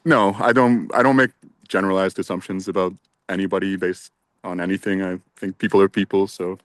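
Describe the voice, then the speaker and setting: A man with a very low voice answers interview questions thoughtfully. The sound is dry and close, with no room echo. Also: fairly even intonation, a little fast.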